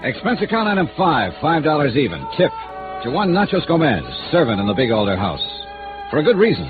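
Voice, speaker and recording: An actor playing a wry American insurance investigator with a dry, clipped, wisecracking delivery in a 1950s radio noir drama. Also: male voice, on the high side, big pitch swings, fairly quick, over loud music. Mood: wry narration. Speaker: detective narrator and lead. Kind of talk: 1950s radio noir acting, first-person narration. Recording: tinny, band-limited old radio recording.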